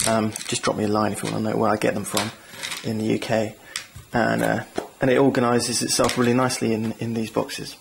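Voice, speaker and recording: A man's voice: on the low side, monotone, a little fast, vocal fry, hardly any pauses, noisy background. A man hosting a board game channel, walking viewers through setup and rules in a chatty, enthusiastic way with self-deprecating asides. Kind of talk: tabletop game rules walkthrough.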